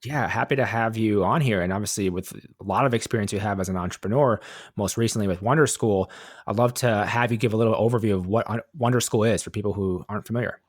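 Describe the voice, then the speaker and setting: A man's voice: lowish voice, fast. A man hosting a podcast, reading a sponsor message and then chatting upbeat with his guest. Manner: upbeat, reads sponsor copy then chats. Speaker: podcast host. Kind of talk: podcast interview and ad read.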